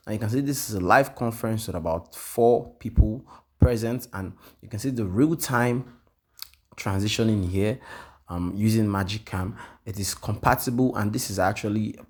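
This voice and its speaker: A man with a very low, slightly husky voice talks viewers through a software demo. He repeats himself often and checks in with "okay?" after nearly every point, filling pauses with "um.". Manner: repetitive, frequent "okay?" and "um" fillers. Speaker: tutorial presenter. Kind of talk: screen-share software walkthrough.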